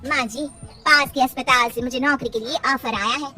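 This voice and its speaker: A woman's voice: sits high, steady tone, moderate pace, pushed and bright, far from the mic, faint music behind. A woman voicing a young village daughter-in-law who speaks respectfully and earnestly.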